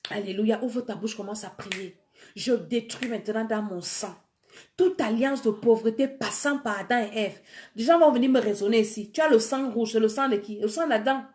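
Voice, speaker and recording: A fervent woman preacher, leading her listeners through prayer and exhortation. Her voice sits fairly high, and she has a cold. She drops into quiet murmured prayer, then climbs again into urgent preaching. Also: normal speed. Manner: fervent, swings between soft prayer and rising exhortation. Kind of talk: sermon with guided prayer.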